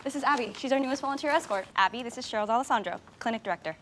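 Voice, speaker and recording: A woman playing a brisk, friendly clinic escort in a film drama. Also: a little high, a bit bright, a little hiss or hum, lightly acted. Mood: friendly, instructive.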